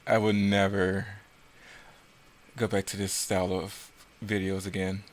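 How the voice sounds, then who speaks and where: A man with a fairly low voice reviews his own work in an unscripted way. He rambles casually and picks at his own flaws as he goes. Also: little pitch movement, a little slow. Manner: self-critical, rambling. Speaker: creator commenting on own work.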